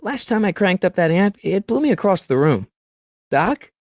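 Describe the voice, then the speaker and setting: A wisecracking teen boy for a video game, youthful and kinda high, really animated, stammers a bit, dry close recording. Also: normal speed, no room sound. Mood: musing, exasperated. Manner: hesitant, stammering asides, wry slangy delivery. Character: earnest, wisecracking teenage boy adventurer, easily exasperated. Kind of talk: video game character lines.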